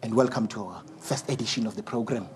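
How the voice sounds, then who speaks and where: A man hosting a studio interview, speaking in slow, emphatic phrases and mixing in Zulu. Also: on the low side, a little mellow, a little hiss or hum. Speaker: talk show host.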